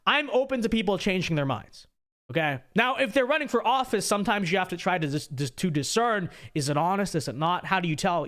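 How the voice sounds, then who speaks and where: A man's voice: high voice, fairly bright tone, smooth. Opinionated male streamer, scratchy sore voice. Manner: opinionated, repeats himself, many restarts. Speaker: livestream host. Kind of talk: casual livestream commentary and super chat reading.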